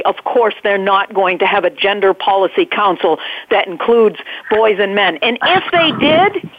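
A woman's voice: medium pitch, no room sound. A woman with a New England accent, a guest making an earnest, emphatic case on a call-in show, piling up one point after another. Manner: emphatic, fast-flowing, piles up points. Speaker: guest advocate. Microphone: phone. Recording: tinny phone line, dry.

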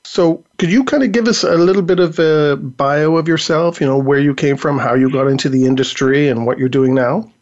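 A man's voice: a little high, brisk, hardly any pauses, darkish tone. A man hosting a talk show, chatting casually with many fillers while he is losing his voice. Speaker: podcast host. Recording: dull lo-fi audio.

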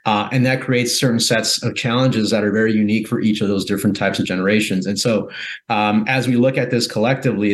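Man from Guatemala, fairly low voice, measured, pauses, restarts, interview guest. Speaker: guest, corporate philanthropy executive. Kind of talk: reflective interview answers.

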